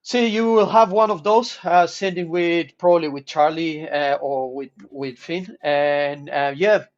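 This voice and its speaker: A man from Chile with a Chilean Spanish accent, his voice pitched a little high, talking in friendly, halting English as he searches for words. The audio comes through dull and lo-fi, like a video call. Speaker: team supporter and maker. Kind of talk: casual video call chat.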